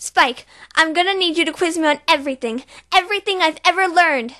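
A woman's voice: extremely high pitch, fairly quick, bright, forceful tone. A woman doing an earnest bookish pony princess. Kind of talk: fan voice reel impression.

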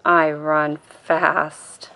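A woman reading a children's picture book aloud as an expressive, deliberate bedtime story. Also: sits low, rough recording. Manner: deliberate, acts out quoted lines in a child-directed way.

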